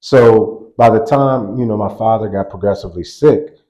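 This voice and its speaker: A man in his forties, a basketball coach, telling stories in a relaxed interview, laughing often and circling back mid-sentence. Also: fairly low pitch, normal speed, dull audio. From grew up on a US army base in Germany. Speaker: college basketball assistant coach, guest. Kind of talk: casual interview storytelling.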